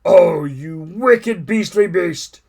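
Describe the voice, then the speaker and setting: Reader doing a big indignant storybook elephant, slow and gravelly, casting note. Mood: outraged. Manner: booming, slow, scolding.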